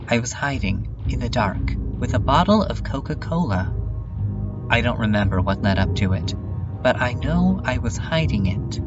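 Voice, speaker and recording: A lonely last survivor played by a man confesses in a bleak dub narration, slowly and with deliberate halts. The voice is on the low side and slightly dulled, with music running clearly beneath it. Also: dull audio. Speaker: narrator of a horror comedy fan dub. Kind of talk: slow first-person scripted narration.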